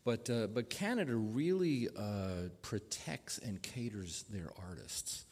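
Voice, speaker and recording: A man's voice: on the low side, animated, slow pace, a little mellow, in a large hall. A man hosting a radio show, asking rambling questions with fillers. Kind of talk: radio interview.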